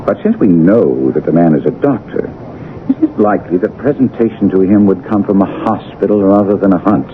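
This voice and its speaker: An actor playing a keen, self-assured detective with a brisk, emphatic, teasing manner in a 1970s radio drama. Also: male voice, sits low, animated. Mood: confident, reasoning. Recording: tinny old radio broadcast, faint noise.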